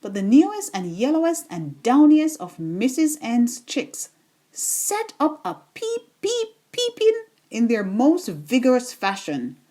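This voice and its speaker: Woman, children's story narrator, expressive read-aloud, unhurried pace, swooping lively intonation. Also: fairly high pitch.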